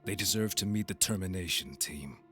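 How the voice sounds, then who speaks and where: An actor playing a scornful young monster hunter, a haughty estranged brother, in an audio roleplay drama with a sneering, deliberate delivery. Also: male voice, deep voice, a bit bright, faint music behind. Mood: defiant contempt.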